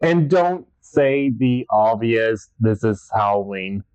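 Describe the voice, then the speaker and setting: A man's voice: lowish voice, lively intonation, slow, darkish tone, clear, resonant tone, no room sound. A young man co-hosting a casual podcast chat, easygoing and a bit hesitant. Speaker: podcast co-host. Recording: dry, dull lo-fi sound.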